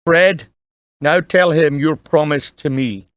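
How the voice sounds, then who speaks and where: Casting: gentle, solemn dad played by a man, fairly high male voice, earnest audio drama delivery, dry sound. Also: slow, dry recording. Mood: earnest, reflective. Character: kindly, earnest dying father advising his young son.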